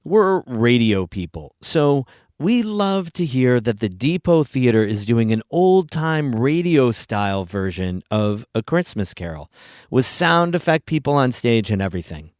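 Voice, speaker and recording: A man's voice: medium pitch, very animated, measured pace, a little mellow, no room sound. Dry-miked male news host. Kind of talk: scripted news reading, conversational public radio.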